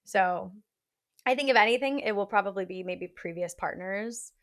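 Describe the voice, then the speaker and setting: A woman's voice: mid-range voice, normal speed. A woman hosting a podcast, chatting frankly and casually and giving relationship advice with many "like" fillers. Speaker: podcast host.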